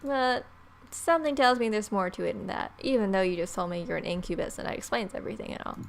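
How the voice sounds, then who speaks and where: A woman's voice: mid-range voice, fast, creaky, slight background noise. A woman in her twenties reading visual novel text aloud with casual, joking asides, recorded at home. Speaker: gaming video creator. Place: small room at home, indoors.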